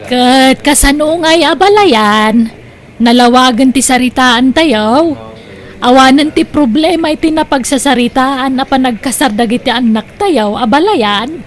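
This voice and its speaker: A woman playing an agreeable mother of the bride, hospitable and drawn-out, in an Ilocano comedy drama. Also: sits high. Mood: agreeable, pleased. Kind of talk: Ilocano radio-style comedy drama acting.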